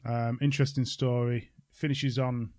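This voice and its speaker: A man's voice: medium pitch, flat delivery, moderate pace, a little mellow, no echo, studio quality. A man chatting casually as a podcast co-host, with a wry, bantering manner.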